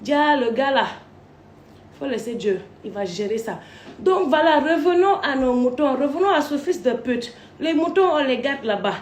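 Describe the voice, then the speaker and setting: A high-pitched woman from Côte d'Ivoire tells a winding personal story, swearing freely as she goes. Her voice bounces around a roomy space. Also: expressive, normal speed, slight background noise. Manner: crude, swearing, wandering storytelling. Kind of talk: rambling live rant. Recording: roomy echo.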